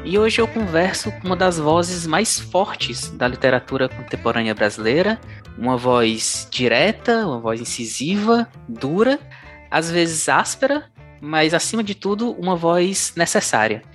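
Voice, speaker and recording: A man hosting a literature podcast, introducing his guest in a measured way and asking her questions. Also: fairly high pitch, sing-song, fairly bright tone, soft background music. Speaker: podcast host.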